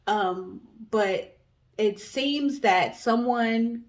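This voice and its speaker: A woman's voice: a little high, extremely slow. A woman co-hosting a storytelling guessing show, telling stories in a casual, conversational way.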